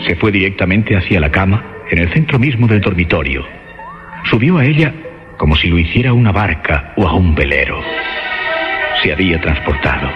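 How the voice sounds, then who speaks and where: Male narrator, very low voice, solemn literary horror storytelling, radio drama, muffled recording, loud music bed. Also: sing-song, moderate pace. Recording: dull lo-fi track under loud music.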